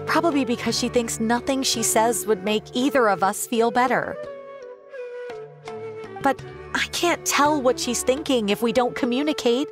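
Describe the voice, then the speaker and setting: A woman playing a worried, soft-hearted mother in a video game, speaking anxiously and earnestly. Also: fairly high pitch, lightly acted. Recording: background music under the voice.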